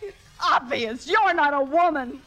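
A proud, temperamental stage diva in an old radio play. Her voice is very high and forceful. She delivers biting sarcasm with grand theatrical flair. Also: expressive, unhurried, faint music behind. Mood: bitter. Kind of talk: 1950s radio drama acting. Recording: vintage 1950s radio broadcast.

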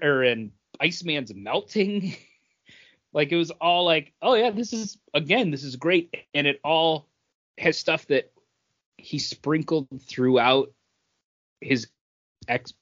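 A man's voice: mid-range voice, a bit bright. A man talks casually in a mid-range voice, slightly muffled and lo-fi. He sounds like an enthusiastic fan co-hosting a podcast, piling clause onto clause as he goes. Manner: enthusiastic, wordy fan talk. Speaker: comic book podcast co-host. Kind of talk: casual chat.

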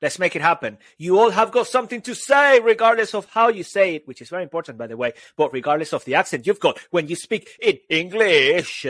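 A man's voice: sits high, lively intonation, fairly quick, few pauses, a bit bright, no room sound. A man from Venezuela, a public speaking coach, talking in an emphatic, motivational way on a casual podcast.

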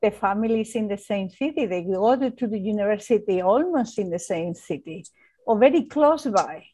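A woman's voice: medium pitch, lively intonation, normal speed, mellow, husky. A woman from Spain with a Spanish accent, a guest on an interview, searching for words and laughing often as she talks. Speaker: architect, guest. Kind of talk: interview conversation.

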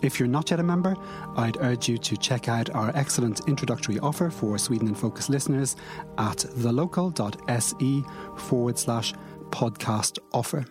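A man hosting a news podcast, reading a measured scripted intro and then asking interview questions. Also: medium pitch, over music. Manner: measured, clear presenter delivery. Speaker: podcast host.

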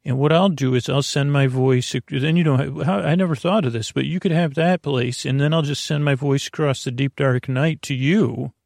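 A man hosting a sleep podcast, talking in a soft, lulling, creaky voice and rambling goofily with many fillers and restarts. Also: medium pitch, normal speed, few pauses, dry recording, studio quality. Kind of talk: meandering bedtime talk to help listeners sleep.

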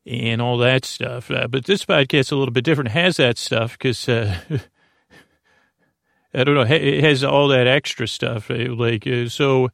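A man hosting a bedtime podcast, talking softly in a lulling, meandering way, full of fillers and restarts. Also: medium pitch, fairly even intonation, moderate pace, gravelly, no echo, clean studio sound. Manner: soft, lulling delivery. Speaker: podcast host. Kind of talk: rambling bedtime podcast talk.

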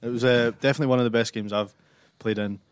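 A man co-hosting a sports podcast, relaxed and modest as he talks about a match. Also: mid-range voice, very fast, smooth, no room sound. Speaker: co-host and player. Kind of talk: casual sports podcast chat.

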